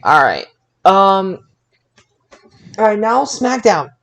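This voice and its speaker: A man co-hosting a casual wrestling review podcast in a halting way, often repeating his words. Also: high-pitched, very animated, creaky, fairly bright tone. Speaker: podcast co-host.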